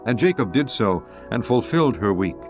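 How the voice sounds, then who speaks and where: A man narrating scripture in a measured, reverent manner. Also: mid-range voice, very animated. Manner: measured, reverent, archaic diction. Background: guitar music.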